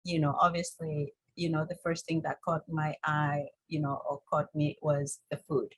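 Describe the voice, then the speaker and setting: A woman with a Kenyan accent telling her story conversationally as an interview guest, in an informal, halting way with many "you knows". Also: deep voice, level tone, breathy edge, no echo. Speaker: interview guest, holistic health coach.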